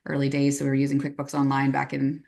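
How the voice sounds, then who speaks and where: A woman with a Canadian accent speaks in a low, gravelly voice. She introduces herself as a webinar guest in a friendly, professional way. Her voice comes through a video call microphone. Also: completely flat delivery, fast talker, darkish tone. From western Canada. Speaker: guest accountant and firm owner.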